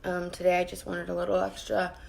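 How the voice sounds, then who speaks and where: A woman with a raspy voice, chatting casually with her viewers while she does her makeup at her vanity at home. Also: on the low side, monotone, fairly quick. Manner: chatty, greets viewers by name and rambles while working. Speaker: makeup vlogger. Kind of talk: casual live-streamed makeup tutorial. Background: faint background music.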